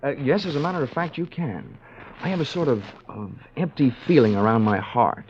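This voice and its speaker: Actor as wisecracking American radio detective. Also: male voice, mid-range voice, lively intonation, fairly quick, darkish tone, slight background noise, natural voice. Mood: flirtatious. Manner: wry, glib, wisecracking. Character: wisecracking, flirtatious male insurance investigator, cool and cocky. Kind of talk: 1940s-50s radio detective drama acting. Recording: dull old radio recording, music behind.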